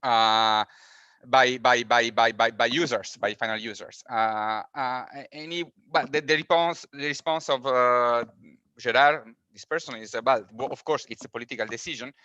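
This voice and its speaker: Bright-voiced man speaking halting non-native English. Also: medium pitch, no echo, lo-fi sound. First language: not English. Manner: halting, repeats words, non-native phrasing. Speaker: panelist. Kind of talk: online panel discussion. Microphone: video call.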